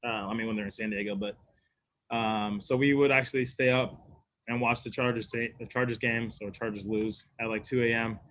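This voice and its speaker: A man's voice: medium pitch, fairly even intonation, normal speed, a bit bright. A young man from Sweden, a college football player, answering press questions in an easygoing way with frequent laughs and fillers. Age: young adult.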